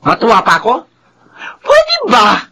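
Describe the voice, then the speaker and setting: An actress playing a proud, scolding middle-aged mother who nags her son, with a raspy voice. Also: a little high, wildly swooping pitch, a little slow, pushed and bright, full character voice. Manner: scornful, nagging. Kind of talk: radio drama acting.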